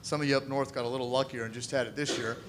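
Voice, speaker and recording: A man from Missouri, a political candidate answering forum questions in a loud, confident voice into a handheld mic in an event hall. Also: mid-range voice, fairly even intonation, very fast, noisy background. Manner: loud, confident, says he has a big voice. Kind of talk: forum answers, public speaking. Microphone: handheld microphone.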